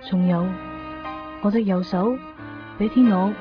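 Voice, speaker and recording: An actress playing a tender, sorrowful young woman in a Cantonese radio drama, speaking softly and intimately with a Hong Kong accent. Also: mid-range voice, unhurried, close to an everyday voice. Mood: wistful, sorrowful. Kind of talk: Cantonese radio drama acting with inner monologue. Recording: dull lo-fi 1990s radio recording. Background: music.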